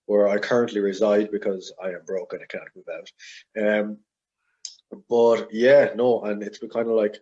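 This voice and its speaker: Husky young man rambling. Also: fairly low pitch, little pitch movement, moderate pace, mellow, highs cut off. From Ireland. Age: 20s. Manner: rambling, heavy with fillers and hedges. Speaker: podcast guest. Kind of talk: casual interview chat.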